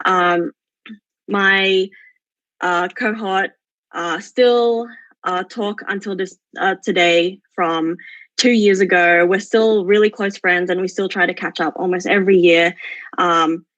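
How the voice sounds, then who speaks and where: A young Australian woman speaks hesitantly as a presenter at an online forum, stopping often mid-phrase. Her voice sits a little low. The sound is dry and close, with the slightly muffled, lo-fi quality of an internet call. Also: steady tone, takes it slowly, fairly bright tone, no echo. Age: 20s. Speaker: forum speaker, exchange program alumna. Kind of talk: semi-prepared talk at an online forum. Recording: dull, lo-fi online call audio.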